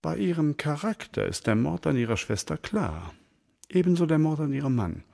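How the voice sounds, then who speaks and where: A man's voice: a little high, sing-song, moderate pace, creaky, dark tone, exaggerated voice. An actor playing a reflective, articulate man puzzling over a crime in a radio drama. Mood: musing, disillusioned. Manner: musing, articulate.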